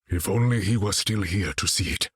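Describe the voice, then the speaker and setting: A man's voice: sits low, moderate pace, slightly rough, no room sound, clean studio sound. A man playing a proud, dignified warrior king in a video game, with an African accent and formal, regal phrasing. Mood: proud, solemn. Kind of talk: video game character voice acting.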